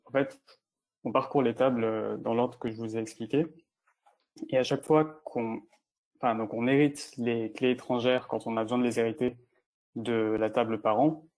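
Even-toned man explaining hesitantly. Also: mid-range voice, poor audio. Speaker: presenter answering audience questions. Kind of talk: informal technical Q&A in an online meetup. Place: video call.

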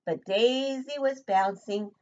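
A woman's voice: a little high, slow pace, lo-fi sound, a little hiss or hum. An older woman, a preschool teacher, reading a picture book expressively to young children and asking simple questions, recorded indoors at home. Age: older, 60s or above.

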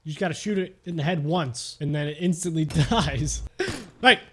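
A man's voice: a little high, normal speed, slight background noise. A young adult man giving casual, joking gameplay commentary in a playful, exclamatory, mock-serious way. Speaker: gaming video host. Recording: music behind the voice.

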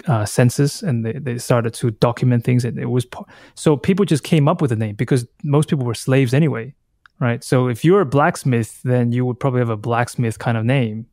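A man in his thirties from Taiwan, a native Taiwanese speaker, talking reflectively as an interview guest in a halting, thoughtful way, often circling back to rephrase. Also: medium pitch, a little mellow, no room sound, clean studio sound. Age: 30s. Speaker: podcast guest, artist.

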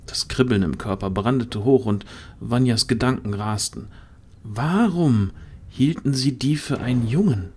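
A man's voice: mid-range voice, a little slow, husky. A man reading dramatic scripted narration for a fantasy audio drama.

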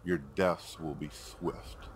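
A man playing a bitter, vengeful older scientist in a video game, speaking gravely and accusingly. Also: extremely low pitch, fairly even intonation, slow, noisy background. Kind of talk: video game character dialogue.

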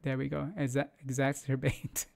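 A man's voice: mid-range voice, completely flat delivery, moderate pace, creaky, a little mellow. A man in his forties hosting market news in a casual, loose way, with many fillers and restarts, speaking English as a second language from a home studio on a close mic. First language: not English. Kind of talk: casual screen-share news commentary.

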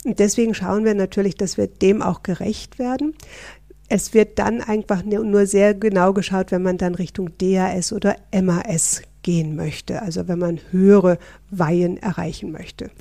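Mellow-voiced woman from northern Germany. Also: medium pitch, fairly even intonation, normal speed, creaky, no room sound. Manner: explanatory, friendly, with fillers. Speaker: professor, interview guest. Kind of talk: interview answers.

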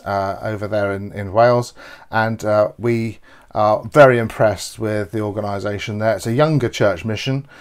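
Middle-aged man, halting church notices. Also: medium pitch, a little mellow. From England. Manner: rambling, many filler "uh"s and restarts. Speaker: church leader. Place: home office, indoors.

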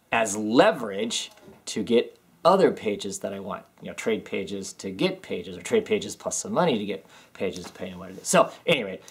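A man's voice: on the low side, breathy edge. A man in his thirties chatting casually in a home vlog, rambling with many ums and restarts. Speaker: comics vlogger. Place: small home room with filing cabinets, indoors.

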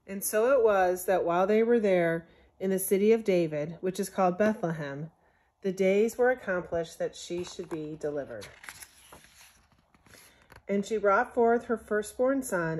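A woman reading a Bible story aloud from a picture book in measured, scriptural phrasing, as a Sunday school storyteller. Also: fairly low pitch, darkish tone.

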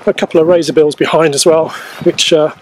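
A man in his forties talking casually to camera as a wildlife photography vlogger, explaining things in a halting way on an outdoor camera microphone. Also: fairly high pitch, steady tone, noisy background. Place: outdoors on a grassy coastal clifftop. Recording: poor camera-mic sound outdoors, music behind.

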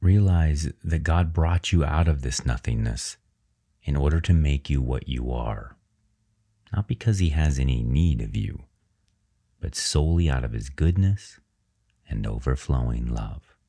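Casting: male meditation guide, extremely low voice, measured and reverent, leaving pauses between phrases. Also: expressive, gravelly, mellow.

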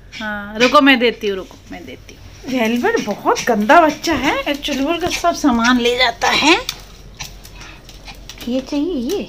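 A woman's voice: high voice, expressive, unhurried. A woman talking to her pet parrot at home in doting, sing-song baby talk. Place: living room at home, indoors. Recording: home phone video with background noise.

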